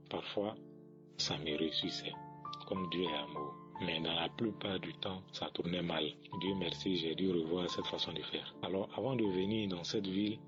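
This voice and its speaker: A man with a deep, low voice tells a testimony in a measured, orderly way. Music plays clearly behind him, and the recording is dull and lo-fi. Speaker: caller giving a testimony. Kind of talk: composed spoken testimony.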